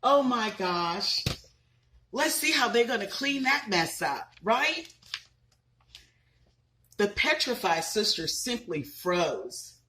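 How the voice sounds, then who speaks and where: A woman in her thirties reading a children's picture book aloud, pausing to ask the listeners questions. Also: medium pitch. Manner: expressive, asks listeners questions.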